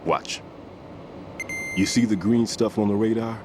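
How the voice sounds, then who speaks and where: Actor as grave, deep-voiced veteran bomb expert. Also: male voice, unhurried, noisy background, lightly acted. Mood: methodical, grave. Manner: precise, didactic, grave. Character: veteran male bomb disposal expert, methodical, wary, somber. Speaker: demolition expert giving instructions. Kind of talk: video game cutscene acting.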